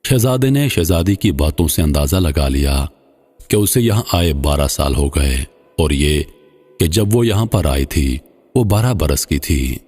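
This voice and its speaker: Very deep-voiced male storyteller, heavy reverb. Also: lively intonation, unhurried, darkish tone, slightly rough. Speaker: storyteller, narrator. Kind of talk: scripted moral folk-tale narration in formal literary Urdu. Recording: long hall-like reverb, slightly muffled voice.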